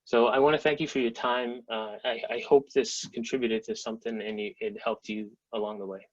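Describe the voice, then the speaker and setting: A man's voice: medium pitch, fairly even intonation, a little fast. Earnest man from Massachusetts, lo-fi sound. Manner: earnest, heartfelt. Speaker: guest speaker. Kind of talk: personal testimony closing. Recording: dull lo-fi sound.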